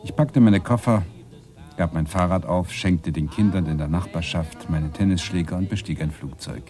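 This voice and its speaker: A man's voice: sits low, normal speed, warm tone, faint music behind. An actor playing a wistful, bookish man who recounts his past in a reflective, literary way in a radio play. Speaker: actor playing the first-person narrator.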